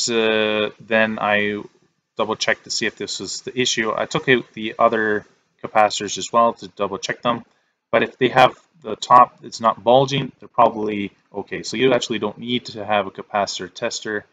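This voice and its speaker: A man giving step-by-step instructional narration as a repair technician, in a halting, plain-spoken way. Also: lowish voice, sharp tone. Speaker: repair technician presenting a tutorial.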